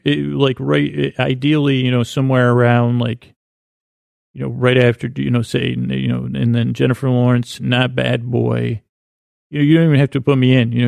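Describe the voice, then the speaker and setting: A man with a deeply gravelly, fry-heavy voice hosts a podcast, rambling in soft, lulling tones that wander from one idea to the next. He sounds close and dry, with no room around him. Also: no echo. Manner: slow meandering soothing delivery. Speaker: podcast host. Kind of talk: rambling sleepy podcast intro monologue.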